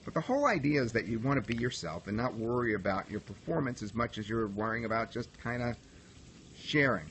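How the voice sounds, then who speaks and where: A middle-aged man with a nasal voice and an American accent, giving relaxed, conversational broadcasting tips in a livestream tutorial. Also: medium pitch, fairly quick, slight background noise, rough recording. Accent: General American, traces of New York. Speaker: broadcasting coach. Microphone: phone camera.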